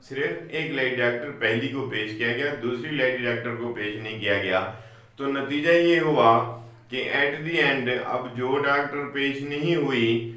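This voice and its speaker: Male law lecturer, Pakistani accent, unhurried and bright-voiced, explaining with repetition; very roomy sound. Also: medium pitch. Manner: deliberate, repeats key points, mixes in English legal terms. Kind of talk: explanatory legal lecture with worked examples. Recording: very echoey room.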